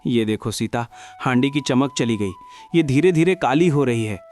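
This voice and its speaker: A man playing an earnest, troubled, honest poor eatery owner in an animated moral story. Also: medium pitch, normal speed, lightly acted. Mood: dismayed.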